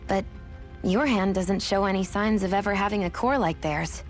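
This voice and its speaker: An actress playing a blunt, skeptical young adventurer in a video game, with a cool, probing delivery. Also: female voice, mid-range voice, normal speed, close to an everyday voice. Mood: skeptical, pressing. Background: background music.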